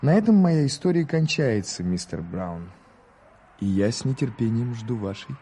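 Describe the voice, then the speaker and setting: An actor playing an eager, ironic American newspaper correspondent in a radio play, theatrically formal and wry. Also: male voice, medium pitch, very animated, normal speed, warm tone, breathy edge. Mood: wry, exasperated. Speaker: actor narrating and playing a reporter. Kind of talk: radio play storytelling and dialogue.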